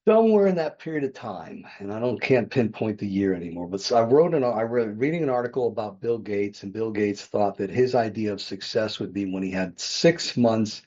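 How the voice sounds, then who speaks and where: An older man in his sixties talks through his past in a loose, meandering way, often stopping to correct himself. His voice is low, dark and a little husky. The podcast audio sounds dull and lo-fi. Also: animated, fairly quick, few pauses. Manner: rambling, self-correcting, chuckles often. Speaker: podcast guest, real estate developer. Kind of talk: conversational interview storytelling.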